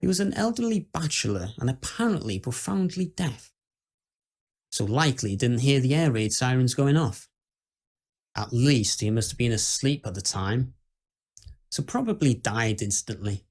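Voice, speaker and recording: A man's voice: medium pitch, lively intonation. Man as a dryly ominous British tour guide. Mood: solemn. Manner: measured, explanatory, dryly ominous. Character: solemn, courteous haunted-house tour guide. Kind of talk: scripted ghost story monologue.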